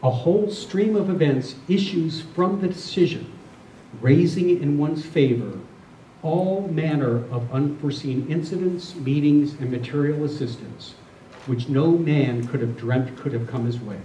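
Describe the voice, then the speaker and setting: A middle-aged or older man giving a reflective spiritual talk to a group, speaking earnestly and deliberately, often repeating phrases. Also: on the high side, mellow. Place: room with a listening group. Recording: roomy, distant mic, faint noise.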